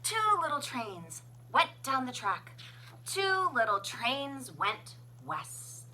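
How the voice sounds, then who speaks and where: A woman reading a children's picture book aloud as a librarian, with expressive, sing-song, deliberate phrasing. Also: fairly high pitch, slight background noise, low-quality recording. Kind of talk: children's storybook read-aloud.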